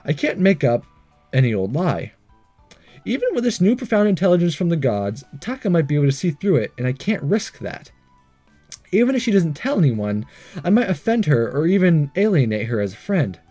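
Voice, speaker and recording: A man's voice: fairly high pitch, sing-song, moderate pace, mellow, faint music behind. A man hosting a game playthrough, reading visual novel text aloud with jokey asides and the odd stumble.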